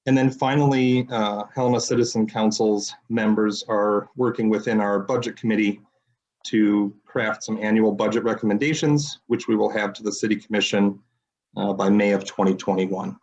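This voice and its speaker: Deep voiced guy reporting to city commission, brisk and organized. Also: fairly even intonation, darkish tone, highs cut off. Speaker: citizens council representative. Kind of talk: meeting report.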